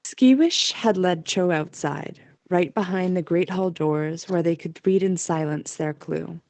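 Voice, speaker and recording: A woman's voice: fairly low pitch, unhurried, a little mellow, no echo. A woman reading scripted story narration as the narrator of an amateur audio drama.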